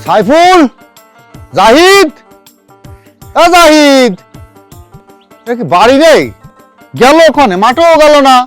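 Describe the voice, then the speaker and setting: An exasperated village parent in a comedy drama acted by a man, extremely high in pitch. The voice scolds and grumbles over faint music. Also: big pitch swings, slow pace, long pauses, a bit bright, exaggerated voice. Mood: exasperated calling. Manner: scolding, muttering complaints.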